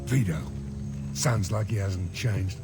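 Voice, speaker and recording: An actor playing a bitter, blunt older mercenary veteran out for revenge in a video game, with a gravelly growl. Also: male voice, fairly low pitch, slow, over music. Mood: grim. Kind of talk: video game character dialogue.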